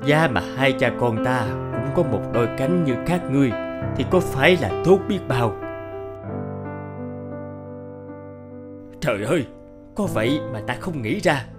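A man playing an earnest middle-aged craftsman father in a cartoon, speaking in a measured, careful way. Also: medium pitch, animated, over music, lightly acted. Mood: encouraging. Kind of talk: cartoon dub acting.

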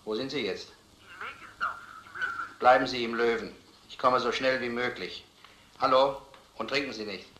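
An actor playing a brisk, authoritative police lieutenant in a crime drama, giving curt commands. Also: male voice, mid-range voice, bright, forceful tone. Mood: urgent. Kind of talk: German film crime drama acting. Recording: echoey old film soundtrack, noisy.

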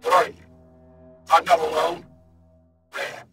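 Lost human thought-form trapped in a signal: breathy whispers, broken fragments, bright edge, soft music underneath. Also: mid-range voice, level tone, slow pace. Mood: panicked. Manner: broken fragments, sudden loud outbursts. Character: lost disembodied human mind, panicked and confused. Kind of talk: horror audio reading.

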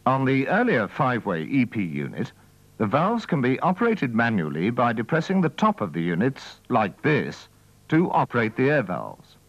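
Male narrator for vintage technical instruction film: methodical, formal, animated pitch movement, dull lo-fi sound. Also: moderate pace, no echo. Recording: dull, dry old training-film soundtrack.

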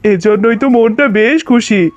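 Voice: mid-range voice, normal speed, darkish tone, lightly acted. An actor voicing a poor, doting village father fox in a children's cartoon. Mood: happy. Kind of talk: Bengali children's cartoon dialogue. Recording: muffled, narrow-band sound with music.

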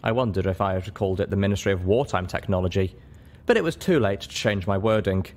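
A man's voice: low voice, expressive, fast, a little mellow. A man narrating fiction expressively as an audiobook reader.

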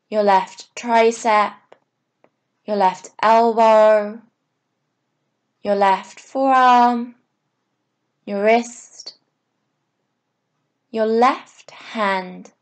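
Woman yoga instructor doing guided meditation, really slow and soothing, short phrases w pauses, mid pitched voice. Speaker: yoga teacher.

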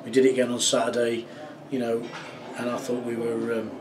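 Casting: middle-aged male football manager, thoughtful, halting, recorded a little off-mic in a small office. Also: mid-range voice, monotone, recorded from a distance, noisy background. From England. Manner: measured, reflective, many fillers and restarts. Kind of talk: post-match interview. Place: small club office indoors.

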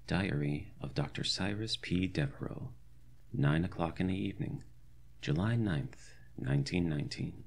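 A man's voice: low-pitched, normal speed, gravelly. A man playing a pompous, wry country doctor of 1919, dictating a diary in florid, old-fashioned language for a horror audio drama. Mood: formal.

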